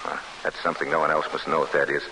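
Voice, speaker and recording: An actor playing a grave, guarded Polish soldier telling of his wartime escape, earnest and deliberate, in an old-time radio drama. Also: extremely low pitch, level tone. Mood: urgent, guarded. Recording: hissy vintage radio broadcast.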